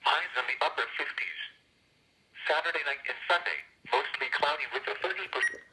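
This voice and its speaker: A synthetic-sounding man reading a scripted weather forecast in a flat, clipped way through an old small radio speaker. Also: fairly high pitch, a little fast, poor audio. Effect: played through old small radio speaker.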